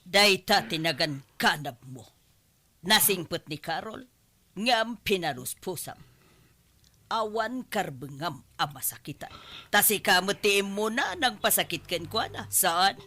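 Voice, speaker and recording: A woman's voice: mid-range voice, animated, measured pace, bright, forceful tone. A woman playing a stern middle-aged mother in a radio drama, scolding emphatically with an Ilocano Filipino accent. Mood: stern, reproachful.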